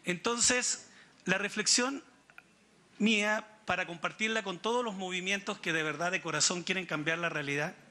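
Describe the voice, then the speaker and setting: A man with a Chilean accent making reflective remarks from the audience at a conference, deliberate and pausing mid-sentence. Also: high voice, bright, forceful tone. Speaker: audience member speaking at a political conference.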